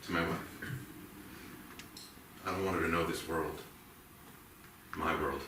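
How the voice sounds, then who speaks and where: Haunted policeman, male actor, guilt-ridden and intense, low register, heard from afar in a reverberant theatre. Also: fairly even intonation. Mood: haunted, brooding. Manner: brooding, halting, emotionally intense. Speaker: actor in a staged reading. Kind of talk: dramatic theatrical monologue. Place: indoor theatre stage. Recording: echoey theatre, distant mic, faint noise.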